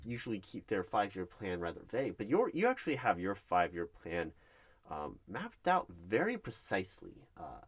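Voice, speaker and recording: A man's voice: low-pitched, huge pitch swings, normal speed, full character voice. A man playing a nervous, polite recruiting manager in a one-sided ASMR job interview roleplay, speaking hesitantly with awkward professionalism. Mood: nervously agreeable. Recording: clear background noise with keyboard typing. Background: loud keyboard typing and clicks.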